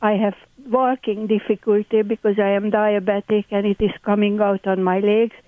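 A woman's voice: mid-range voice, level tone, normal speed, no echo. Elderly Hungarian-accented woman on a phone line. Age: 80s. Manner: warm chatty storyteller with broken English. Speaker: landlord guest on a call-in. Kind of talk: casual radio interview.

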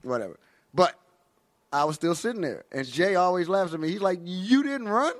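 A man with an American accent telling casual, comedic stories in animated, profane slang as a guest comedian. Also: fairly high pitch, a little fast, slight background noise.

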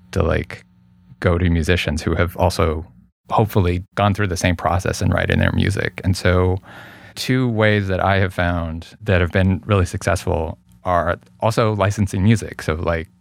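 A man's voice: low voice, normal speed, gravelly, no echo. A man as an interview guest, explaining his production work casually and thoughtfully, often repeating words as he goes.

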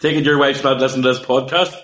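A man's voice: medium pitch, fairly even intonation, very fast, a bit bright. A man, co-hosting a podcast with teasing questions and plenty of ums. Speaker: podcast co-host. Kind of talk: casual podcast interview.

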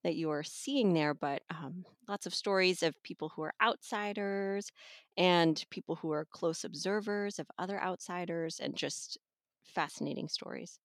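Lower-voiced woman discussing books, close dry sound. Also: moderate pace, no room sound. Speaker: audiobook reviewer and podcast guest. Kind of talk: casual book discussion.

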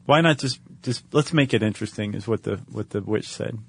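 A man's voice: lowish voice, brisk, gravelly, breathy edge. A man co-hosting a podcast, chatting casually and retelling stories with wry, jokey asides. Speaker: podcast co-host. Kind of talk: casual two-person chat, retelling stories.

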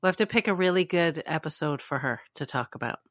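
A woman's voice: low voice, lively intonation, quick pace, a bit bright, no room sound, studio quality. A woman co-hosting a podcast, talking in an informal, conversational way. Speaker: podcast co-host. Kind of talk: casual chat about TV and fandom.

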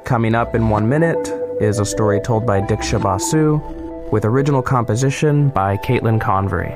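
Low-voiced male host over loud music. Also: fairly quick. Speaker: host of a radio theater podcast. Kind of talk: scripted show introduction. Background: prominent music.

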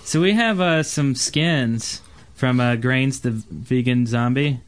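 A man's voice: mid-range voice, takes it slowly. A man co-hosting a gaming podcast, talking casually with the others. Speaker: podcast co-host.

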